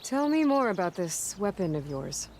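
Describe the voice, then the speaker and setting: An actress playing a capable, compassionate young hunter who speaks calmly and steadily in a game cutscene. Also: female voice, medium pitch, normal speed, a little hiss or hum. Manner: calm, steady. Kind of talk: video game cutscene acting.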